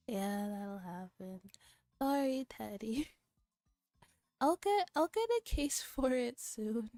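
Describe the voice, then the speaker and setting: Mellow-voiced giggly woman streamer. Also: fairly high pitch, animated, takes it slowly, pauses often, no room sound, studio quality, faint music behind. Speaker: VTuber streamer, host. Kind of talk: casual livestream chat, reading a visual novel aloud.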